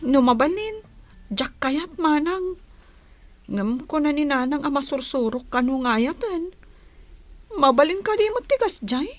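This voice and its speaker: An actress playing a young, reluctant grown daughter in a radio drama. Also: female voice, high-pitched, animated, measured pace, long pauses. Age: young adult.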